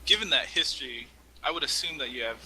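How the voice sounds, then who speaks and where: A man's voice: mid-range voice, animated, quick pace, vocal fry, pushed and bright, slightly rough, poor audio. A man playing the interviewer in an amateur role-play, reading out scripted questions.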